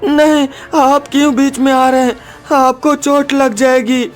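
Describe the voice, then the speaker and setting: Man acting young boy, high voice, devoted son, anxious, pleading, cartoon drama, music behind. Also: little pitch movement, a little slow. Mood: frightened, pleading.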